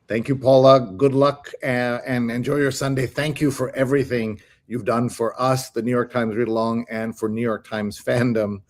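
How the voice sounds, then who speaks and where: A man with a medium-pitched voice hosts a livestream. His delivery is casual and conversational, as a show host. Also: flat delivery, moderate pace, few pauses.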